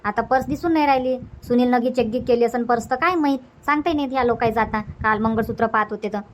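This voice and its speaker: A woman's voice: on the high side, fast talker, a little hiss or hum, close to an everyday voice. A woman voicing a flustered, complaining young village sister in a cartoon comedy, with colloquial Vidarbha Marathi. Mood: fretful.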